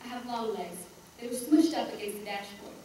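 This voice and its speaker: A woman's voice: a little high, warm tone, natural voice. Echoey, distant, embarrassed old woman, acted by a woman. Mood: wistful, confiding. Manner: halting, self-interrupting, dismissive asides. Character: reluctant, embarrassed seventy-two-year-old woman, chatty and blunt. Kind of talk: theatrical monologue. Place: echoey room, recorded from far off. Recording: echoey room, distant mic, faint noise.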